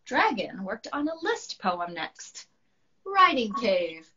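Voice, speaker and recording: A woman's voice: medium pitch, big pitch swings, slow, noisy background. An American woman speaking as a teacher and author, reading a storybook aloud to children over a livestream. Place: at home, on a live video stream. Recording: slightly dull livestream audio.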